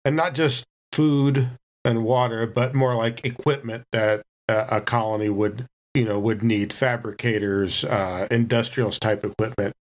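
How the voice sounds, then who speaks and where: A man's voice: mid-range voice, steady tone, measured pace. A man playing a tabletop role-playing game, casually offering improvised suggestions.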